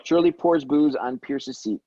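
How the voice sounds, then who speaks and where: A man's voice: medium pitch, a little slow, dull audio, background noise. A man reading scripted stage directions as narrator of a table read.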